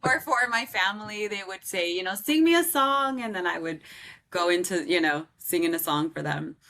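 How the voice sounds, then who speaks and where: This is a woman in her thirties, a singer from Southern California, talking about how she started singing. Her voice is loud and strong, with a bright, forward edge that sits slightly low. She talks in an animated, loose way, full of fillers and quick laughs. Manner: animated, laughs often, lots of "um" and "like". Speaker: singer and guest. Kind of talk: casual interview storytelling.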